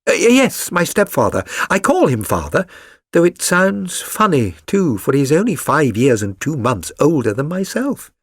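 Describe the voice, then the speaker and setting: A man's voice: on the high side, very animated, normal speed, no room sound, studio quality, pitched above the performer's own voice. A man voicing a chatty, flustered young working-class London woman in a storybook reading, rambling earnestly and eagerly.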